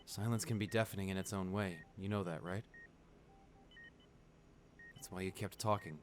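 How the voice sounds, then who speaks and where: A man playing a wealthy, devoted client pleading at his comatose lover's bedside in an intimate ASMR roleplay, emotional and halting. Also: low-pitched, fairly even intonation, a little hiss or hum, natural voice. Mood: tender, sorrowful. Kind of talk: ASMR boyfriend roleplay, dramatic monologue.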